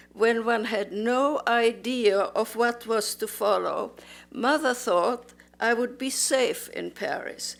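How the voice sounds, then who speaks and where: Slow, measured woman in her nineties. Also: fairly high pitch, gravelly. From Austria. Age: 90s. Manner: slow, measured, formal delivery. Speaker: museum volunteer and Holocaust survivor. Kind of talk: prepared public speech. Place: museum auditorium.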